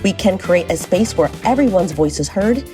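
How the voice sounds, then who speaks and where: A woman reading a scripted podcast introduction in a measured, earnest way. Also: fairly low pitch, animated, music in the background.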